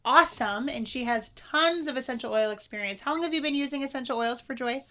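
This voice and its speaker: A woman's voice: high-pitched, fast talker, sharp tone. A woman co-hosting a podcast in casual, upbeat chat. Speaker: podcast co-host.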